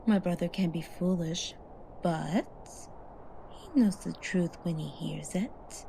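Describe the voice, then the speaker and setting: A woman's voice: lowish voice, takes it slowly, creaky, dark tone, slight background noise, rough recording. A woman playing a proud, candid elven lady in an ASMR fantasy roleplay, speaking formally and frankly. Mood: candid, conceding.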